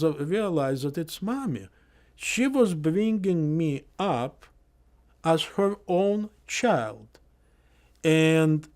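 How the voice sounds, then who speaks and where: Older male witness recalling, slow, halting, English, voice a touch high. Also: darkish tone. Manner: slow and halting, with many pauses and fillers; non-native grammar, articles often dropped. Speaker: interviewee. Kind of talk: oral history testimony.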